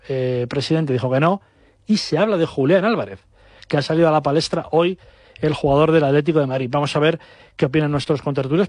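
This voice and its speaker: A man's voice: fairly high pitch, a little mellow, no echo, studio quality. A man hosting a sports talk show, with fluent presenting and a few hesitations.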